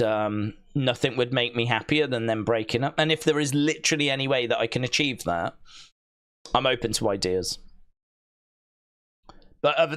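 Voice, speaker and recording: Rambling, deadpan male livestream host. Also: mid-range voice. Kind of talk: casual watch-along chat, reading viewer comments.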